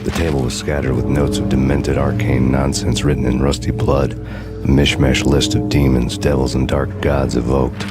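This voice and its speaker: A weary noir detective narrates in an extremely deep, flat, brooding monotone. The voice-over plays over loud music in a game. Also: male voice, moderate pace. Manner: brooding deadpan.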